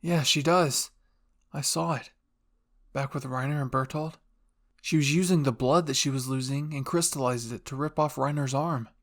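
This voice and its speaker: A man's voice: medium pitch, steady tone, moderate pace, a bit bright, close to an everyday voice. A man playing a blunt, matter-of-fact military man in an anime fan roleplay.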